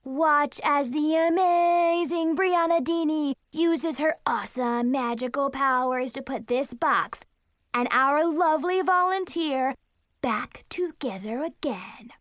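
A woman's voice: high voice, slow, fairly bright tone, dry recording, clean studio sound, voice pushed up. A woman voicing a bratty, theatrical little girl who plays stage magician in a storybook, showy and prone to whining. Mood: grand, showy. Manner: showy announcing, whiny when thwarted.

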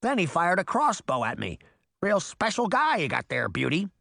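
Storybook crow, female, worn out and sardonic, squawking high performed by a male narrator. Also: huge pitch swings, moderate pace, creaky, bright, forceful tone, no echo, studio quality, heavily acted. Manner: sarcastic, squawking. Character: exhausted, wry female crow, a widow.

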